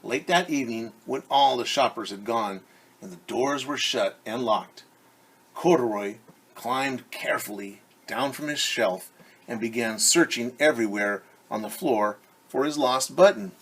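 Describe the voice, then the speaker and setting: Husky-voiced man reading a story to kids. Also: medium pitch, measured pace, low-quality recording. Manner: animated, stops to explain words and ask children questions. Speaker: teacher. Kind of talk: children's story read-aloud.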